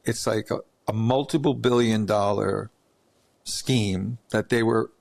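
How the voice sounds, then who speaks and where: A man's voice: lowish voice, steady tone, measured pace, gravelly, a little mellow. A man hosting an interview podcast, telling rambling stories in a chatty way with many restarts. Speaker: podcast host. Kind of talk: casual interview chat.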